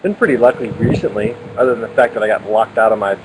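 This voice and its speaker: A man's voice: on the low side, quick pace, background noise. A man co-hosting, chatting and joking about sailing plans outdoors. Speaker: co-host sailor. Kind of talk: casual chat.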